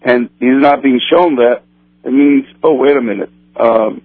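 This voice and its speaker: A man from Brazil whose first language is Portuguese, a kosher supervisor answering interview questions in a halting way with many restarts. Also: mid-range voice, breathy edge, no room sound. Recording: tinny phone line, dry.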